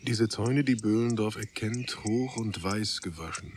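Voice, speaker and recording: A man with a fairly low voice narrates literary radio drama. His delivery is declamatory and deliberate in its phrasing. A faint hiss runs under the recording. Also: a little mellow. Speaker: second narrator.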